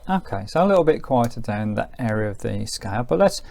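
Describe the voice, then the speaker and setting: A man's voice: mid-range voice, very animated, brisk, creaky, darkish tone. A man giving a casual hands-on gadget review, thinking aloud in an informal, hedging way. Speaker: product reviewer.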